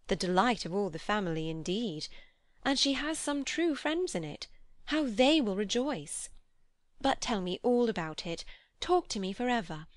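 A woman reading an audiobook, voicing a vivacious, teasing young society lady with animated, exclamatory, inquisitive speech. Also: medium pitch, normal speed, dry recording, studio quality, lightly acted. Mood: delighted, eager. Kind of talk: audiobook character dialogue.

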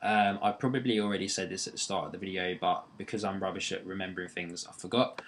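Young man with a deep voice. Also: steady tone, quick pace, a bit bright, a little hiss or hum. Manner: rambling, friendly, many fillers and restarts. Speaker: tutorial maker. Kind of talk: casual screen-recording tutorial. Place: bedroom near a busy road. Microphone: built-in laptop microphone.

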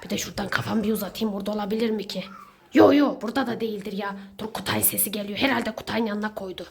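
A woman playing a sneaky, hungry neighbor villain in a children's pretend-play skit, muttering theatrically to themselves with drawn-out complaints. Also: mid-range voice, fairly even intonation, gravelly, breathy edge. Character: sneaky, hungry Hello Neighbor villain, seeker and snack thief. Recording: loud music over the voice.

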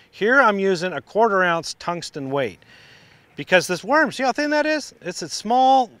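A middle-aged man giving a relaxed, practical fishing lesson on a lakeshore, recorded on a lapel mic. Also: high voice, dry recording. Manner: relaxed, practical explaining with restarts. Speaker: fishing instructor. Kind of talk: outdoor how-to demonstration. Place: outdoors on a lakeshore.

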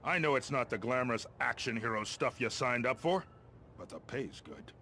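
An actor playing a gruff, impatient middle-aged American army colonel in a video game, briefing in a blunt, commanding, wry way. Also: male voice, mid-range voice, expressive, normal speed, bright, forceful tone, slight background noise. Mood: wry, casual. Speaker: military officer giving mission briefings.

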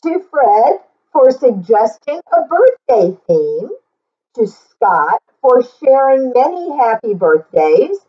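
A middle-aged woman reading a picture book aloud for children, expressively and deliberately, drawing out words and adding simple explanations. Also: fairly high pitch, slightly rough, lo-fi sound. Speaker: children's story reader and teacher.

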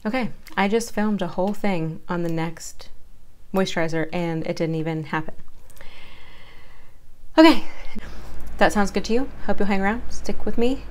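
A woman's voice: mid-range voice, moderate pace. A woman beauty vlogger chatting casually through a product review, rambling and self-deprecating, her voice worn and giving out. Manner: rambling, self-deprecating, stumbles over words.

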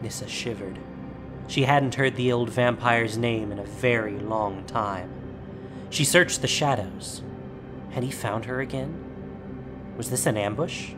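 A man giving steady, solemn scripted narration of a fantasy story. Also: mid-range voice, measured pace, pauses often, over music.

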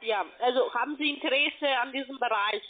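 A high-pitched woman with a foreign accent delivers a telephone sales pitch. She sounds like a call center agent, heard through a narrow, tinny phone connection. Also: brisk. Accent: foreign, non-native German.

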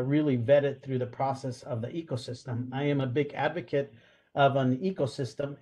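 A man's voice: medium pitch, a little mellow. Guy w a talking earnestly on a video call, lots of uhs and restarts. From Guatemala. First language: Spanish. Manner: earnest, many fillers and restarts. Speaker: panelist, school police association president. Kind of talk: online panel discussion. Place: home or office room, video call. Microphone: video call webcam.

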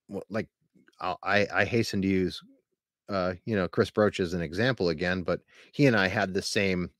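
Male podcast host, deep and dry-miked, earnest and rambling. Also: a bit bright, dry recording. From Pennsylvania. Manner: rambling, earnest, many restarts. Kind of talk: casual interview.

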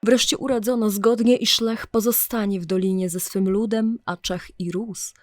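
A woman's voice: medium pitch, fairly even intonation, moderate pace, dry recording. A woman narrating a legend as a solemn, dramatic storyteller in archaic, scripted language.

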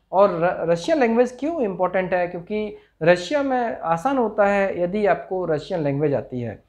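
A man's voice: high voice, darkish tone. A man in his forties with an Indian accent giving an informational promotional talk to camera, explaining step by step and mixing in many English terms. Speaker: overseas recruitment consultant. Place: small office. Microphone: clip-on lapel mic.

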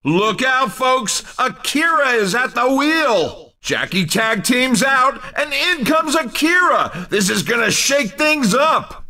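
A hammy, cheeky arcade racing commentator, bursting with excitement. His voice is extremely high and swoops widely, with a bright, forceful edge. The lines come as short, exclamatory video game announcements. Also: slow, recorded from a distance, heavily acted. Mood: excited.

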